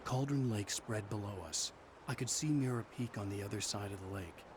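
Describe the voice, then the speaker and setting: A man's voice: lowish voice, moderate pace, lightly acted. An actor playing a brooding, wary writer, narrating his ordeal in a video game with grim, dry restraint. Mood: tense, brooding. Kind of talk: scripted first-person game narration. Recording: clear ambient noise behind.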